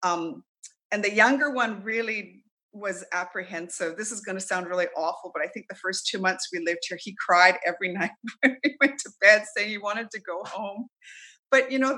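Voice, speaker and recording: Bright-voiced woman, chatty. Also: medium pitch, moderate pace. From Canada. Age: middle-aged. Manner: chatty, with frequent ums and laughs. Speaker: interview guest. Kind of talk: casual conversational interview.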